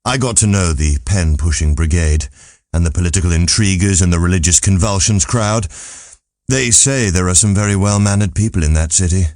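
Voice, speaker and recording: A man reading a literary audiobook as narrator, in a dry, measured storytelling manner. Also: low-pitched, a little mellow, dry recording.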